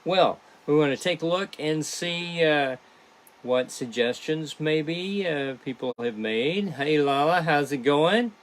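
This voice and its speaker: A man hosting a casual behind-the-scenes stream as a podcast creator, chatting to viewers in a halting, rambling way. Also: fairly high pitch. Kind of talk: casual livestream chat to viewers.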